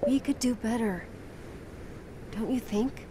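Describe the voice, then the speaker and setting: A woman's voice: on the high side, slow, dark tone, slight background noise. A woman playing a principled young heroine in a fantasy game, speaking earnestly with composed firmness. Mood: pointed challenge. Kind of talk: dramatic fantasy game voice acting.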